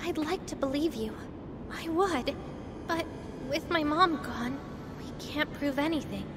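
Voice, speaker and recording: A woman voicing an earnest, feisty young heroine in a video game, expressive in delivery. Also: high voice, a little slow, background noise. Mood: troubled. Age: young adult. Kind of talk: video game cutscene acting. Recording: long hall reverb, music underneath.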